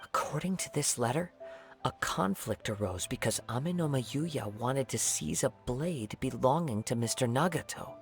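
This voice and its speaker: A young man voicing a calm wandering samurai in a video game, speaking in a measured, thoughtful way. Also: mid-range voice, creaky, soft background music.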